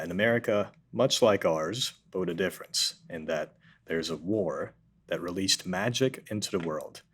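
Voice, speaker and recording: A man's voice: low voice, a bit bright. A man narrating a table read, reading scripted introductions and stage directions. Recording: noticeable background noise.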